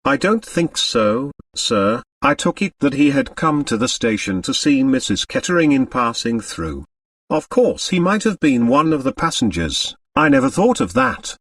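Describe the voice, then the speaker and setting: A man's voice: medium pitch, big pitch swings, moderate pace, gravelly, fairly bright tone, dry recording, far from the mic, heavily acted. A man voicing a deferential, chatty English lady's maid in an audiobook, easily flustered and very proper. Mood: flurried. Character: nervous, discreet English lady's maid, respectful woman servant.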